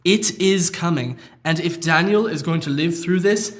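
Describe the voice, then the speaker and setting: A man's voice: fairly high pitch, normal speed, lightly acted. An actor playing a formal, earnest male tutor who speaks politely and solemnly with an accent.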